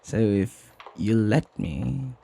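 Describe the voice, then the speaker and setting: A flirty, lovestruck butler acted by a man for an ASMR roleplay. His voice is husky and mellow, with very unhurried pacing. He speaks tenderly, teasing and hesitating as he dotes on his beloved master. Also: on the low side, animated, full character voice. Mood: coaxing, fond. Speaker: voice actor.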